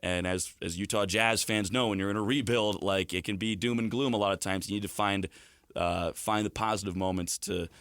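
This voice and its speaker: A man with a fairly low voice talks sports as a guest analyst. He explains in a fluent, conversational way, leaning on stories, and the sound is dry with very little room on it. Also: fairly even intonation, a little fast, fairly bright tone, clean tone, dry recording. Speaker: hockey play-by-play broadcaster as studio guest.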